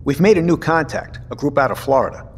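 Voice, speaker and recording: An actor playing a middle-aged captain and father, courteous, measured and thoughtful, in an audio drama. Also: a little high, husky. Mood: matter-of-fact. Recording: reverberant room with faint noise.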